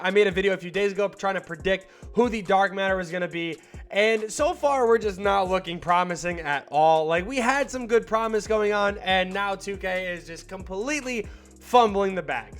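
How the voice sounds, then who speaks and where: A young man with a slightly stuffed-up voice giving casual, slangy video game commentary. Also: high voice. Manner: hyped, slangy and rambling, with filler words. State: still a little stuffed up from a cold. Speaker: gaming YouTuber.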